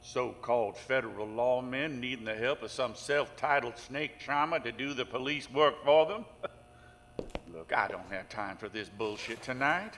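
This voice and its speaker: A man acts out a gruff small-town sheriff in a horror audio drama. His voice is forceful and mid-pitched, full of sarcasm, swearing and contempt. It rings with long reverb over faint noise. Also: a little slow. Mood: scornful, irritated. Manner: sarcastic, profane, dismissive.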